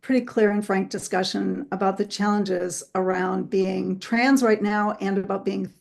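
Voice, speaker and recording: A woman therapist hosting an online interview. She talks in a friendly, chatty way with frequent "um" pauses, over a video call. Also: mid-range voice, flat delivery, creaky. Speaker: host and therapist. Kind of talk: casual webinar introduction.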